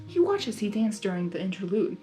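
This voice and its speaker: A woman reading fan fiction aloud as a host, gushing and giggly, breaking in with excited asides. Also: medium pitch, brisk, faint music behind, low-quality recording.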